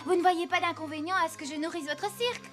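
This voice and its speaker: A woman's voice: very high-pitched, fairly even intonation, fast, a bit bright, soft background music. A woman voicing a plucky, caring, outspoken child in a cartoon, speaking boldly and earnestly. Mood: eager, helpful. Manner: bold, earnest, scolding adults without fear.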